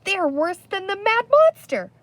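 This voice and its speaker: A woman's voice: extremely high pitch, big pitch swings, measured pace, a bit bright, dry recording, voice pushed up, full character voice. A woman reading a children's storybook as a small, wizened, cowardly male creature chief, frantic and whimpering. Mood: despairing fear.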